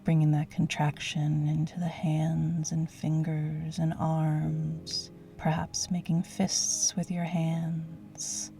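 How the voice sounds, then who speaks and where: A woman leading a guided yoga nidra relaxation in a soothing, gentle, hushed and breathy voice. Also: sits low. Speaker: guided meditation teacher.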